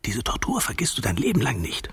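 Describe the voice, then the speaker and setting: A male actor playing a mocking, stubborn reluctant groom in a radio play, with a husky, gravelly voice and a teasing, sardonic way of talking. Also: mid-range voice, huge pitch swings, brisk, a bit bright, dry recording, whispered, heavily acted. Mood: mocking whisper. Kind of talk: radio play dialogue, often hushed.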